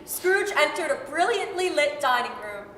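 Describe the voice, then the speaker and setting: A woman's voice: sits high, lively intonation, normal speed, fairly bright tone. A woman narrating a staged 1930s-style radio drama in a gleeful, theatrical storytelling manner. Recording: echoey hall, faint noise.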